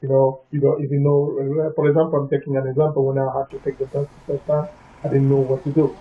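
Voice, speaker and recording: A man from Cameroon, telling his own story in a casual interview, halting and often checking in with the listener. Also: medium pitch, flat delivery. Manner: halting, leans on "you know what I mean". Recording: dull lo-fi sound with faint music.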